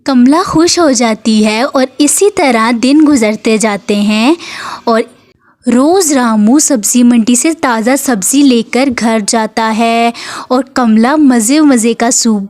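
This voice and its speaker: Female storyteller for a moral tale, high register, unhurried scripted narration. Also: a little mellow.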